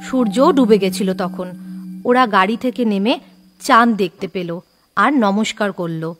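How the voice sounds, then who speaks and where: A woman's voice: medium pitch, a little slow, a bit bright, faint music behind. A woman narrating a scripted horror story.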